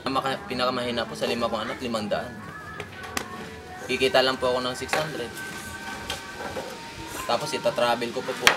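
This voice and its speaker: A man's voice: medium pitch, flat delivery, a little fast, long pauses, over music. A middle-aged Filipino man giving earnest, polite testimony as a resident, speaking plainly and respectfully. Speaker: relocation site resident being interviewed. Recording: very noisy location recording. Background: loud background noise.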